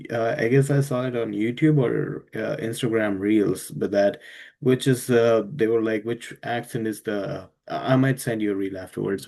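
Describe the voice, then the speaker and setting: Gravelly-voiced relaxed male host. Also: on the low side. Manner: relaxed, hesitant, many fillers. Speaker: podcast host. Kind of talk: casual interview chat.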